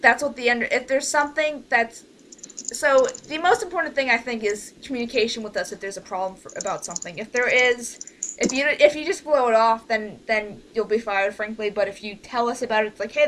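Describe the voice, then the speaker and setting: A woman's voice: fairly high pitch, brisk, a bit bright. A woman leading an informal online group meeting, talking in a hesitant, rambling way that often trails off. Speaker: director leading a voice cast meeting. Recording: noisy amateur voice-call recording.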